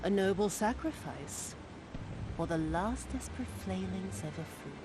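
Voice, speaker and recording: A woman playing a bitter, mocking noblewoman villain in a video game, speaking in a theatrical, deliberate way. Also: medium pitch, animated, noisy background, natural voice. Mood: cold mockery. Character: bitter, scornful noblewoman villain commanding a castle's defence. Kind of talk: dramatic video game cutscene acting.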